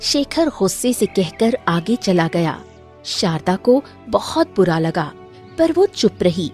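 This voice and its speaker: A woman's voice: medium pitch, big pitch swings, takes it slowly, darkish tone, soft background music. A woman reading scripted narration as the storyteller of a moral tale.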